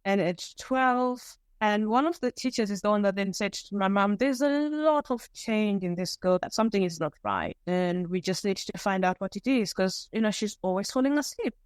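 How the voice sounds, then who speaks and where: Smooth-voiced woman from Zimbabwe. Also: medium pitch, dry recording, clean studio sound. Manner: candid, animated storytelling with pauses and restarts. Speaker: podcast guest. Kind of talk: personal storytelling interview.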